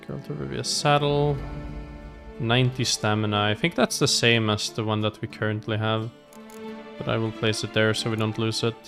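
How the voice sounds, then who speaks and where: A man's voice: on the low side, little pitch movement, normal speed, vocal fry. A man giving casual gameplay commentary as a streamer, musing aloud to himself as he plays. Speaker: game streamer. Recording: voice over game music. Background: game music.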